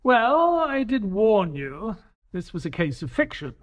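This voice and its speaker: A high-voiced, sceptical detective's sidekick. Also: male voice, measured pace, muffled recording. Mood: doubting. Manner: sceptical, expressive. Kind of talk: scripted audio drama dialogue and narration.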